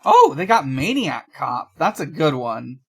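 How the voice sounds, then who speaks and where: A podcast co-host chatting casually in a halting, rambling way. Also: mid-range voice, sing-song, gravelly, slightly rough.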